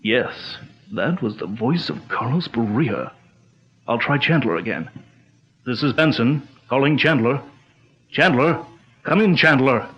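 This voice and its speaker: An actor playing a steady, commanding male adventurer leading an expedition, in a measured 1940s radio drama style. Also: mid-range voice. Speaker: expedition leader. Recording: dull lo-fi old radio sound.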